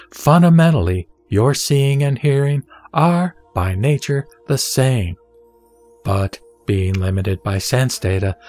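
A man reciting Buddhist scripture as scripted narration, formal and measured, in short deliberate phrases. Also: on the low side, a little mellow. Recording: background music under the voice. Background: music.

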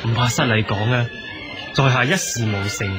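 An actor playing a modest, apologetic poor husband in a radio drama, speaking deferentially. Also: male voice, medium pitch, steady tone, normal speed, lightly acted. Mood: humble. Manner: humble, deferential. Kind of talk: Cantonese radio drama acting. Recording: loud music behind the dialogue.

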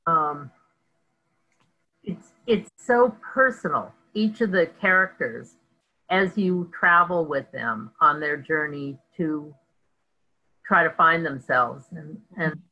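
A woman's voice: low-pitched, sharp tone. A middle-aged woman interviewing over a video call with dull, muffled sound, hesitantly searching for her words. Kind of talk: conversational interviewing. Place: home room with bookshelves, indoors. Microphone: video call, computer microphone.

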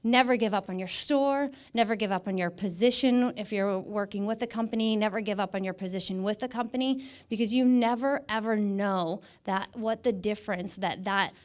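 A woman's voice: mid-range voice, moderate pace, few pauses. A middle-aged woman with an American accent speaks as a guest presenter, emphatically repeating words for stress in a motivational talk. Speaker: guest speaker, image consultant. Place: indoor stage in a dark event room. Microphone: handheld microphone.

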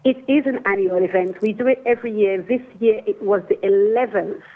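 A woman in her forties with an English accent talks over a thin, tinny phone line. Her delivery is earnest and deliberately slow, and her voice sits in a middle register. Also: medium pitch. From Jamaica. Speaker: guest, restorative justice coordinator. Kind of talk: phone-in interview, explaining a program. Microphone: telephone.

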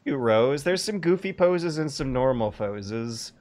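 A man's voice: medium pitch, animated, unhurried, a little mellow. A man hosting a casual livestream podcast from a home room over a video call, musing aloud with hesitant restarts. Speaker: podcast host.

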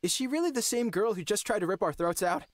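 A man's voice: high voice, expressive, quick pace, no room sound, clean studio sound. A young man voicing a wry, exasperated, earnest young lord in a fantasy game, with an incredulous manner. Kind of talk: fantasy game character acting.

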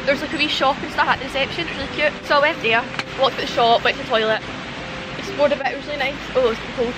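A woman's voice: sits high, steady tone, fast talker, very noisy. A young woman with a Scottish accent, vlogging casually to camera and rambling through stories with lots of fillers. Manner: chatty, rambling storytelling with frequent fillers. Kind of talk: casual vlog chat to camera. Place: outdoors at a campsite in winter, then inside a car. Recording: background music under the voice.

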